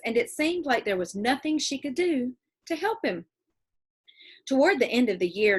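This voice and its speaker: A woman reading a children's book aloud as an expressive narrator. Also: fairly high pitch, slightly rough. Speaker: storybook reader.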